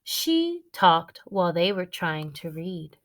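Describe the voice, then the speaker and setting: Animated, unhurried woman reading a storybook. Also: lowish voice. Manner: expressive storytelling. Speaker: teacher reading aloud to students. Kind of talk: children's storybook read-aloud.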